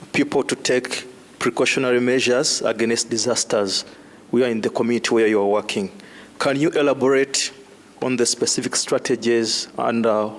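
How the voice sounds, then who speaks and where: A man from Uganda with a mid-pitched voice asks a direct, formal question from the floor. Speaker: audience member. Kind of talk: asking a question.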